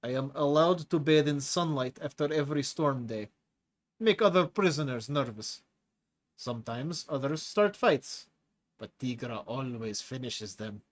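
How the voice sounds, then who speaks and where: A man voices a huge, cocky tiger-man prisoner with claws and a thick accent. He talks in broken English, relishing every brawl he recalls. His delivery swings between low, drawn-out menace and sudden loud bursts. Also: expressive, close to an everyday voice. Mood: smug. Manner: boastful, relishing violence. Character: hulking clawed male tiger-like prisoner, gruff, cocky.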